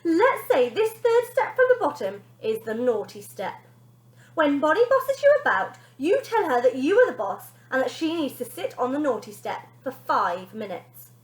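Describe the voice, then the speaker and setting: A woman's voice: high-pitched, big pitch swings, moderate pace, roomy sound, pitched above the performer's own voice. A woman voicing a snide, bullying TV nanny in a dramatic, snappy, sneering manner for a children's story. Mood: instructing.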